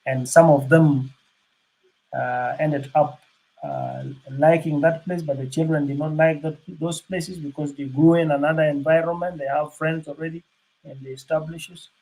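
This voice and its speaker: Older guy on a panel, kinda high voice, gravelly, lots of uhs and restarts. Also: fairly even intonation, dark tone, poor audio. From South Sudan. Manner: halting, many fillers and restarts. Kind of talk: unscripted panel remarks.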